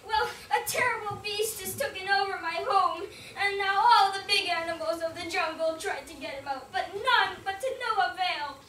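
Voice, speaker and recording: A woman's voice: very high-pitched, normal speed, hardly any pauses, sharp tone, slight background noise, pitched above the performer's own voice. A woman voicing a small, timid female hare who pleads anxiously, recorded at a distance in an echoey room. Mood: pleading.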